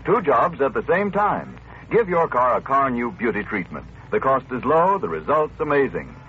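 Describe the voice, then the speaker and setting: A man's voice: medium pitch, very animated, normal speed. A man reading a commercial as a 1940s radio announcer. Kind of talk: 1940s radio advertising read. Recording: tinny, noisy old radio recording.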